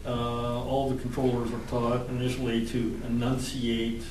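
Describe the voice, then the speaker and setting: A man with a nasal voice in a focus group, speaking in a measured, careful way with many fillers. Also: medium pitch, completely flat delivery, darkish tone. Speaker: focus group participant, air traffic controller. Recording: roomy, distant, noisy room mic.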